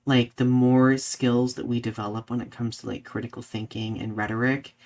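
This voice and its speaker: A man's voice: mid-range voice, little pitch movement, moderate pace, a little mellow. A man hosting a live podcast in a casual, conversational style, often saying "like" and checking in with "right?". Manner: conversational, peppers talk with "like" and "right?". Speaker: podcast host.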